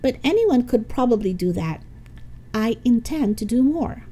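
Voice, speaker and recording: Woman playing a prim, snobbish high-voiced schoolgirl. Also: lively intonation, moderate pace, mellow. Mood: haughty. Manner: haughty, precise. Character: prim snobbish girl, class presidential candidate.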